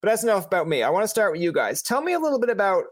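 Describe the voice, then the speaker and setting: A man's voice: sits high, sing-song, very fast, dry recording, clean studio sound. A young man from Newfoundland with a Newfoundland accent, interviewing guests in a chatty, storytelling way full of fillers. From Newfoundland, Canada. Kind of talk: casual chat.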